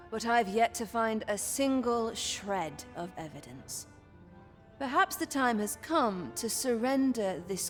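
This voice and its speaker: A woman's voice: mid-range voice, darkish tone. An actress playing a composed, vengeful young woman in a fantasy game, speaking in formal, archaic English over music. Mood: weary, resigned. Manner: archaic, formal, measured speech. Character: vengeful, composed young woman sworn to avenge her parents. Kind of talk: dramatic fantasy game acting.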